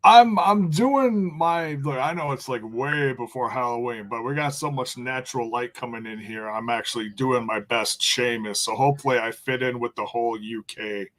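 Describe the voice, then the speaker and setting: A man co-hosting a casual wrestling podcast, playful and jokey, with sudden loud bursts. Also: medium pitch, moderate pace, hardly any pauses. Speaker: podcast co-host.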